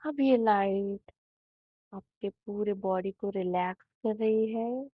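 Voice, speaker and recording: Very slow-speaking Indian woman guiding meditation. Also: mid-range voice, breathy edge, no echo. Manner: soothing, unhurried, with long pauses between phrases. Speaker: meditation guide. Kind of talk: guided meditation narration. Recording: thin, phone-like narrow band.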